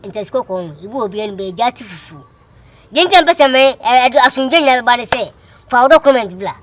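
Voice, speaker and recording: A woman's voice: a little high, brisk, lightly acted. A woman with a Ghanaian accent playing a hot-headed, defiant daughter guarding her father's land, scolding and repeating herself in film drama dialogue. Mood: angry, demanding. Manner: confrontational, scolding, repetitive.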